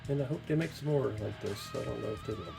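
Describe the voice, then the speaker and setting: A man hosting a casual toy review, relaxed and enthusiastic. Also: mid-range voice, fast, creaky, music in the background.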